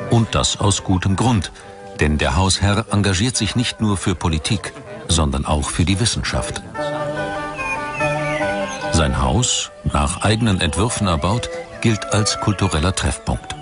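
A man reading scripted documentary narration in a measured, dramatic storytelling manner. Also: low-pitched, expressive. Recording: music under the voice. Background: background music.

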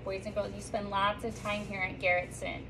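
A woman teacher in her thirties speaks to young pupils in an upbeat, sing-song way, her voice in a middle range. She reads a picture book aloud in a classroom. Steady hiss sits under her voice from a camera a few feet off. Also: medium pitch, brisk. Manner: upbeat and sing-song, reading with lively rises and slips. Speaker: third grade teacher. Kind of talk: welcome message and picture book read-aloud to children. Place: classroom reading corner, indoors. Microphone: phone or camera on a stand a few feet away.

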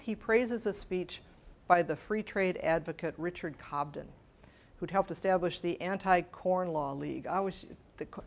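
A middle-aged woman with a fairly low voice gives an academic lecture. She explains carefully and a little hesitantly, speaking into a lapel mic in a small meeting room. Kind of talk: prepared academic talk to an audience.

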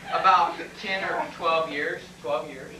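A man's voice: on the high side, fairly even intonation, takes it slowly, a crowd in the background. A man playing a nervous, evasive 48-year-old in an amateur stage comedy, stammering, hesitating and pleading. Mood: flustered, indignant. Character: flustered, evasive 48-year-old man dodging an army physical. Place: classroom used as a small stage. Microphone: far camera microphone. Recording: distant, echoey, faintly noisy VHS room recording.

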